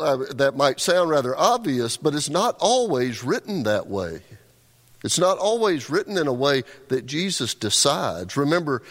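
A man's voice: mid-range voice, fairly bright tone. A male pastor delivering a conversational sermon, often repeating words as he restarts a thought. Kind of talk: sermon with scripture reading. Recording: long hall reverb.

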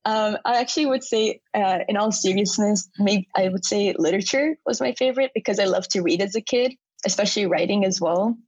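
A woman's voice: mid-range voice, brisk, hardly any pauses, darkish tone, husky, no echo, dull audio. A young woman from the United States, answering interview questions in a chatty, casual way and laughing often. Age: young adult.

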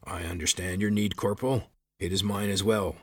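Man doing a gruff, stern palace sergeant. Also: fairly even intonation, normal speed, dry recording, studio quality. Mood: dismissive. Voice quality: gruff, rumbling. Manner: curt, authoritative.

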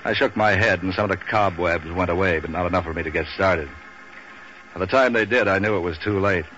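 An actor playing a tough, cynical, street-wise man for hire in a 1940s radio detective show, with an American accent and clipped, deadpan wisecracking delivery. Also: sits low, brisk. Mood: sardonic narration. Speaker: lead character and narrator. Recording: dull, noisy old radio recording.

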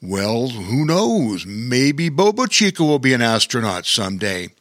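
A man voicing a huge, kindly male bear in a storybook, speaking calmly and gently. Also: mid-range voice, measured pace, no room sound, clean studio sound. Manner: calm, warm-hearted.

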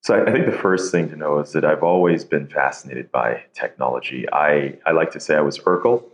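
A man's voice: very deep voice, a little fast, echoey room, highs cut off. A man from Nigeria and the Bahamas, a podcast guest telling his story casually, self-deprecating and laughing easily, often repeating words as he starts. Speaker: podcast guest, tech founder.

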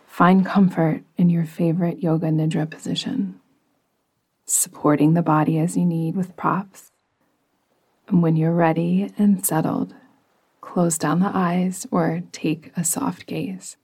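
Slow, husky, breathy woman meditation guide. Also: lowish voice, little pitch movement, low-quality recording. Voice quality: soft, breathy, partly whispery. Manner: soothing, gentle, unhurried. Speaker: yoga nidra meditation guide.

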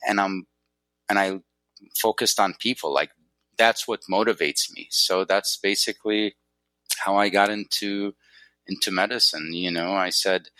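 Chatty middle-aged man, bright dry voice. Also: deep voice, no echo, low-quality recording. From Northwest Wisconsin, USA. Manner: chatty, frequent fillers and restarts. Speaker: guest, neurologist. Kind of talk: casual interview chat.

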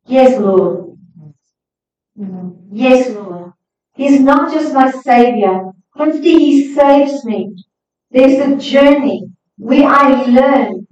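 High-voiced woman preaching in an echoey hall. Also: expressive, very slow, long pauses, darkish tone. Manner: emphatic, exhorting. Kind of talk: sermon to a congregation. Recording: echoey hall, distant, slightly dull sound.

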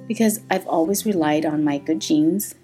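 A woman's voice: sits low, moderate pace, warm tone, faint music behind. A woman in her thirties, born in Thailand, talking candidly as a podcast host and laughing often. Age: 30s. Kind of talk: personal podcast monologue.

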